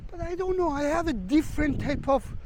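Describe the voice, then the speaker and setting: A man with a strikingly high voice rambles to his viewers as he walks along the shore. His English is loose and broken, and his talk is chatty. Wind buffets the handheld camera mic throughout. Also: expressive, brisk, darkish tone. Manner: chatty, non-native English with broken grammar. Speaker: travel vlogger. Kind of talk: casual walking vlog chat. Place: outdoors on a windy beach. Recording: wind noise on the mic outdoors. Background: wind and outdoor ambience.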